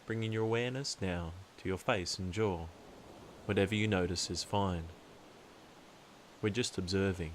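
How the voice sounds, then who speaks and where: A man narrating a guided meditation in a calm, soothing, deliberate way, leaving long pauses. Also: deep voice, a little hiss or hum.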